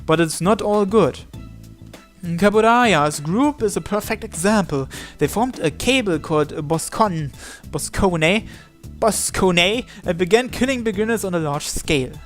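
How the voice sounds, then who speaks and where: Man, fairly high voice, reading visual novel narration, occasional stumbles, music in the background. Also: animated, measured pace. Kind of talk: reading game story text aloud.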